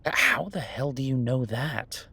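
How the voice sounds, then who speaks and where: A man's voice: medium pitch, sing-song, measured pace, vocal fry, sharp tone, slightly rough, full character voice. A man acting as an easygoing chef talking to a partner in a naturalistic audio roleplay, conversational, hesitant and wry. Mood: surprised, curious. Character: Beau, easygoing male chef, reassuring his werewolf partner. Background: ambient sound effects.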